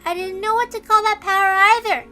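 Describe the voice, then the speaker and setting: Visual novel little girl: extremely high, bright, childlike, serene but excitable. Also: brisk, faint music behind. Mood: solemn, serene. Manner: childlike, repeats herself in excitement.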